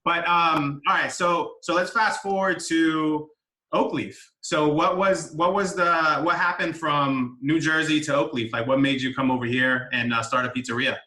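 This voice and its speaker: A man's voice: fairly high pitch, fairly even intonation, moderate pace, bright, forceful tone, echoey room, poor audio. A man hosting a casual sit-down interview in a pizzeria, chatty and friendly with lots of fillers. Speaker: host.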